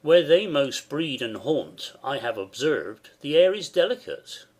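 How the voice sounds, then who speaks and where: A man playing a courtly, observant nobleman, speaking verse deliberately in a Shakespearean audiobook drama. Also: a little high, sing-song. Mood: admiring.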